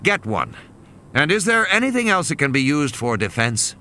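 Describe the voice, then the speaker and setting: A man's voice: on the high side, sing-song, moderate pace, sharp tone, a little hiss or hum, voice pushed up. A man voicing a commanding, angry male scientist in an audiobook, blunt and scolding. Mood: firm, commanding. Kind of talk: audiobook character dialogue.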